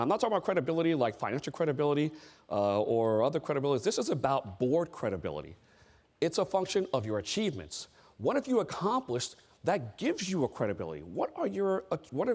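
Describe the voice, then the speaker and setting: Man, middle-aged, seminar speaker, emphatic, repeats key phrases, slightly high voice, very lively pitch. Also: brisk. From United States. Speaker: speaker on board service. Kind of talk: motivational seminar talk.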